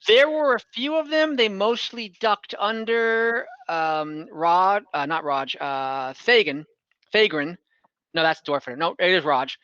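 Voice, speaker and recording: A man acting as game master, improvising narration in a halting, chuckly way over an online call. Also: sits high, bright, forceful tone, clear, resonant tone, dry recording, dull audio.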